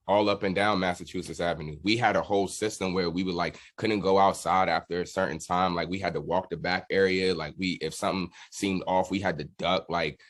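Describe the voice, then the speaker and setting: Deep-voiced American man, casual and candid. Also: steady tone, fairly quick, few pauses. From Washington DC area, United States. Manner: candid, slangy. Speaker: musician guest, drummer. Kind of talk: casual interview storytelling.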